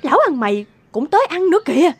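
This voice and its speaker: An actress voicing an outspoken, sharp-tongued village food seller in an animated folk tale, expressive and full of exclamations. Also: female voice, high voice, fast talker. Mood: puzzled.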